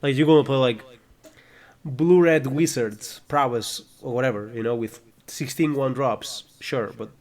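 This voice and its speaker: A man talks in an easygoing podcast chat at a middle pitch. He strings his thoughts together with constant "like" and "you know". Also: measured pace. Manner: chatty, with a steady stream of "like" and "you know". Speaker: podcast co-host. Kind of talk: casual chat.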